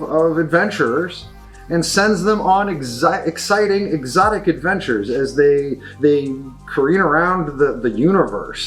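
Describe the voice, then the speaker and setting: A man's voice: a little high, fairly bright tone. Effusive man reviewing games over faint music. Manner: effusive, emphatic, with repeated words. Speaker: game shop reviewer presenting new releases. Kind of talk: enthusiastic product review, unscripted talk.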